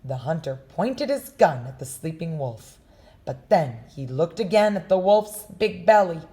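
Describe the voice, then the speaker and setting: Slow, expressive woman reading a story. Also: on the low side. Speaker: storybook reader. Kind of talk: reading a children's story aloud.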